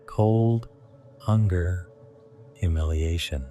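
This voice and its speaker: A man narrating a scripted guided sleep meditation in a hushed, deliberate way, in short phrases. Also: low voice, soft background music.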